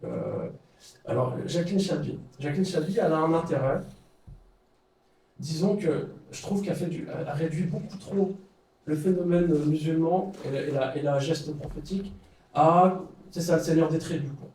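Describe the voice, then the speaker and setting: A man's voice: fairly high pitch, big pitch swings, moderate pace, warm tone, a little hiss or hum. A man giving an informal talk, hesitant, with many fillers and restarts. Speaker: speaker leading a study circle. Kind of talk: informal lecture with questions. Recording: echoey room, far from the mic.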